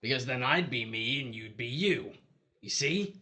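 A man playing a dead, abusive hustler of a husband in an audio drama, pleading and justifying himself in a gravelly voice. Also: mid-range voice, animated, moderate pace, pushed and bright. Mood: self-justifying.

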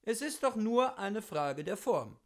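High-pitched man, animated literary reading. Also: a little slow. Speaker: reader of literature. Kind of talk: expressive literary audiobook reading.

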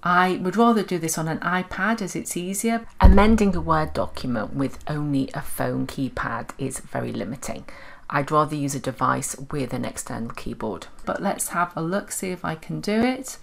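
A woman presents a software tutorial in a low voice. She explains each step as an instructional voice-over. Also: normal speed, creaky.

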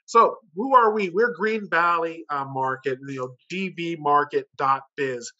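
A man with a fairly high, very bright and forceful voice gives a sales pitch at an online conference. He talks conversationally and persuasively, throwing out rhetorical questions. The sound is a slightly dull video call. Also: unhurried. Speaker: company director giving a sales pitch. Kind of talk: informal online conference presentation.

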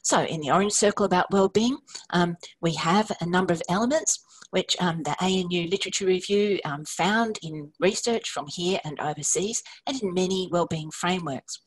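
A woman giving a measured conference presentation, explaining slides with occasional fillers. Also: fairly low pitch, breathy edge, low-quality recording. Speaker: academic presenter.

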